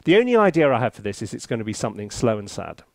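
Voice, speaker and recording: A British man chats through a tutorial with a very lively, swooping delivery. He is jokey and theatrical, breaking off into asides and cutting across himself. His voice sits at a middling pitch in a dry, close recording. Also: racing, creaky, no room sound. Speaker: composer reviewing music software, presenter. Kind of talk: casual, chatty tutorial to camera.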